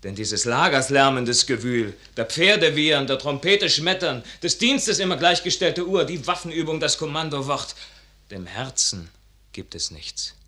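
Fervent young soldier, sweeping intonation, forceful ringing tone, declamatory classical verse, radio play. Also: male voice, on the high side, animated, a little slow. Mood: wistful. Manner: declamatory, impassioned, swelling. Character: ardent idealistic young soldier, son at odds with his father. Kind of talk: classical German stage verse radio acting.

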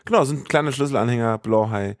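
A man's voice: medium pitch, fast, no room sound, studio quality. A man co-hosting a podcast in casual chat, playful and rambling, often interrupting himself. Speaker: podcast co-host.

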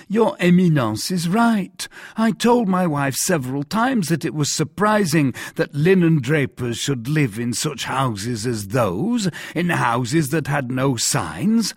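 A man voicing a gullible, overawed merchant prisoner in an audiobook, exclaiming and pleading servilely in drawn-out phrases. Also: on the high side, very animated, husky, no room sound, clean studio sound, full character voice. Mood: eager agreement. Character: fawning, gullible, overawed commoner mercer prisoner.

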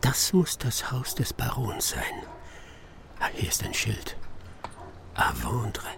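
An actor playing a brusque, sharp-witted English master detective in a radio play, muttering to himself and testy with his assistant. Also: on the low side, very animated, slow pace, gravelly, background noise, exaggerated voice. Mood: hushed, wary.

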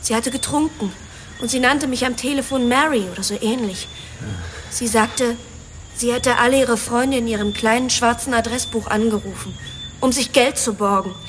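Woman acting a high-voiced, defiant rich daughter. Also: normal speed, slight background noise, lightly acted. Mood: defensive, confessing. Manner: emotional, self-justifying. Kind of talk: German radio drama acting.

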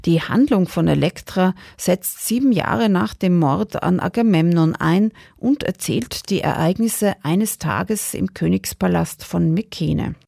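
Low, mellow woman presenting radio. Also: unhurried, gravelly, hardly any pauses, no echo, clean studio sound. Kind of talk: scripted radio introduction.

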